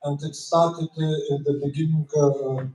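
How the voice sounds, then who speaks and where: A middle-aged man with a Lithuanian accent gives a scholarly talk at a conference, his voice slightly high and soft-toned. He hesitates often, filling gaps with uhs. The sound is echoey and distant from the mic. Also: level tone. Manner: hesitant, many filler pauses. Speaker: conference speaker. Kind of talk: academic lecture.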